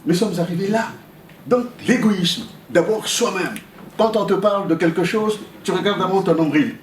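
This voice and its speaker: A Congolese man, whose first language is Okutuba, addresses a meeting in a raised, slightly high voice. He halts on fillers, then surges upward when he makes a point. He is picked up from across a reverberant room over a faint hum. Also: normal speed, recorded from a distance. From Republic of the Congo. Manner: hesitant fillers, then rises sharply when emphatic. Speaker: community member addressing a meeting. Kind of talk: impassioned public remarks. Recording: roomy sound from off-mic, faint hum.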